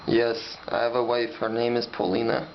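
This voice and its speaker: Detached male villain, played by a man, gravelly, stilted delivery on a dull student drama recording. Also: mid-range voice, monotone, normal speed, natural voice. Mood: cold, detached. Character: cold, matter-of-fact male human trafficker. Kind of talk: student-acted mock interview. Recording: dull, lightly noisy lo-fi recording.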